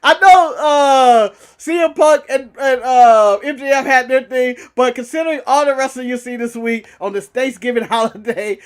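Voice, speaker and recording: A man with a notably high voice talks as a podcast co-host. His delivery is casual and joking, with an amused, mouthy edge that suits loose banter between friends. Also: moderate pace, hardly any pauses, clear, resonant tone. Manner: amused, laughs often.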